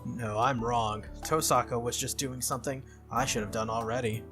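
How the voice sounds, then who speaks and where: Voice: medium pitch, steady tone, normal speed, music in the background. A game playthrough host reading visual novel text aloud expressively, sometimes stumbling and rereading lines.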